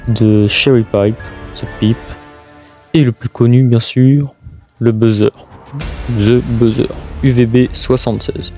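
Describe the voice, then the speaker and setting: A man reading a scripted documentary narration as presenter, in a halting way with stumbles. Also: mid-range voice, fairly even intonation, mellow. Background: music.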